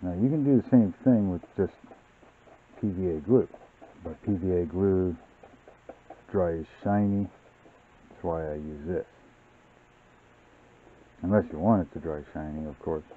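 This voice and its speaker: A man hosting a model-building channel, explaining his workbench steps in a relaxed, rambling way with many fillers. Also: low voice, measured pace, long pauses, slight background noise. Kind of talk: casual hobby tutorial narration. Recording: tinny, phone-like sound.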